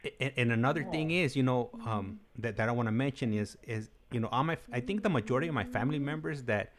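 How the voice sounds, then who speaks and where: A man hosting a casual livestream, talking haltingly and repeating himself, with many "you knows". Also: medium pitch. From United States, Los Angeles area. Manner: halting, repetitive, peppered with "you know". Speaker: livestream host.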